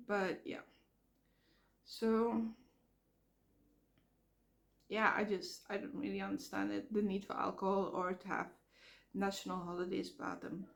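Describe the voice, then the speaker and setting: A woman vlogging outdoors by a road, talking frankly in a halting way while she comes down with a cold and her voice cracks. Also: mid-range voice, vocal fry. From Netherlands. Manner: frank, halting, repeats words. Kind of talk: casual rambling vlog. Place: outdoors near traffic.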